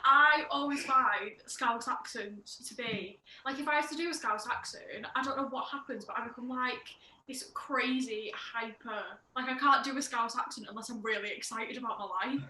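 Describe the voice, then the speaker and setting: A woman's voice: fairly high pitch, normal speed, pushed and bright. A woman hosting a podcast interview, chatty and enthusiastic, saying "like" often. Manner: chatty, enthusiastic, heavy use of "like". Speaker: podcast host. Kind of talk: casual interview chat. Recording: echoey room with faint background noise.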